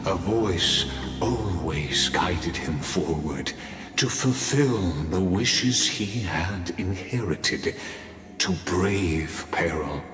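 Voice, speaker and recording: Game narrator, solemn epic bard: deep, measured, echoing over music. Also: male voice, animated, exaggerated voice. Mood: solemn, grand. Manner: grave, measured, theatrical. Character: solemn male storyteller of an epic legend. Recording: long hall reverb, music behind.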